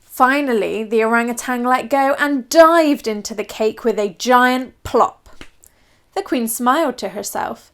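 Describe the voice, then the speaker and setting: High-voiced woman reading a children's storybook. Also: a little slow, fairly bright tone. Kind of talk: expressive children's storybook read-aloud.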